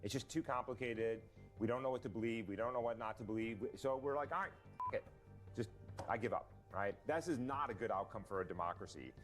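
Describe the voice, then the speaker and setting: Fast-talking man in an interview clip. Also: mid-range voice. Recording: clip from a played video with faint music.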